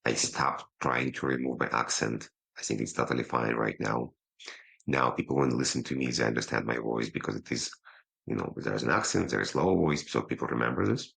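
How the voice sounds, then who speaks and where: A man with a low voice and a non-native English accent, talking as a podcast guest over a video call, quick but pausing to slow himself down. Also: vocal fry, fairly bright tone, husky. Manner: quick talker who slows himself down, pausing instead of fillers. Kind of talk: casual interview talk.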